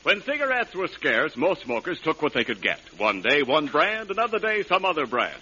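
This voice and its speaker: A man's voice: fairly high pitch, huge pitch swings, moderate pace, sharp tone. A male announcer delivering scripted advertising narration in a polished, emphatic 1940s radio style. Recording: dull, slightly noisy old radio recording.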